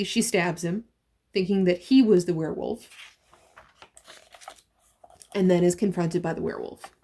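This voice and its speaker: A woman's voice: mid-range voice, darkish tone. Emphatic woman reviewer, conversational delivery. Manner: emphatic, conversational, rhetorical questions. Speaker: comic book reviewer and host. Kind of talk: opinionated review with story retelling.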